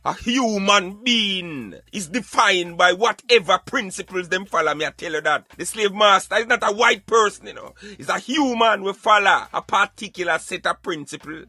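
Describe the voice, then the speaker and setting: A man's voice: sits high, normal speed, hardly any pauses, sharp tone, no echo. A Jamaican man arguing emphatically in Patois, in an impassioned debate. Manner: emphatic, argumentative, speaking in Patois. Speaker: radio show co-host.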